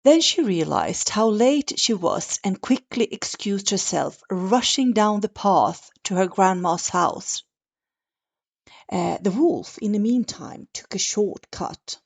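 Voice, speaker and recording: A woman tells a children's bedtime story at an unhurried pace. Her voice has noticeable fry, and she reads gently and deliberately, with expression. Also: mid-range voice, expressive. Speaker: mother reading a bedtime story.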